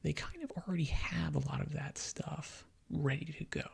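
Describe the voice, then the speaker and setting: Husky, crackly man speaking ASMR-soft. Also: fairly high pitch, sing-song, moderate pace. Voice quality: breathy, husky half-whisper. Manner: rambling, opinionated, conversational. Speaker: gaming video host. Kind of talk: ASMR, soft-spoken gaming commentary.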